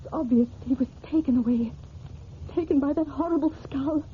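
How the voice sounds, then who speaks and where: Woman acting a very high-pitched distraught wife, old radio. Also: brisk, close to an everyday voice. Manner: melodramatic, anguished. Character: distraught, frightened wife of a missing man. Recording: tinny, noisy old radio recording.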